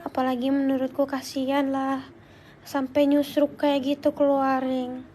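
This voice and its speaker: A woman's voice: high voice, monotone, unhurried, dark tone. A young woman with a voice congested by flu, chatting casually and informally on a livestream as she answers viewers. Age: young adult. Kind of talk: casual chat.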